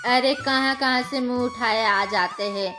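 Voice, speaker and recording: A woman's voice: fairly high pitch, a little slow, natural voice. A woman voicing a haughty, impatient, envious younger woman in a cartoon moral story, with a whiny manner. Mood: irritated. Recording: music behind the voice.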